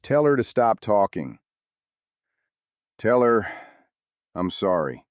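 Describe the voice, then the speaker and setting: An actor playing a weary, guarded insider, speaking tersely and reluctantly in a noir audio drama. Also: male voice, on the low side, sing-song, takes it slowly, no room sound, studio quality.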